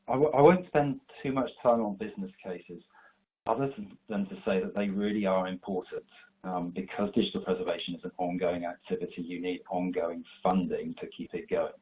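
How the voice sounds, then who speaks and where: Low husky man with a stuffy cold. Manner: steady, explanatory delivery. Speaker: webinar presenter. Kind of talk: prepared slide presentation. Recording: tinny online call audio.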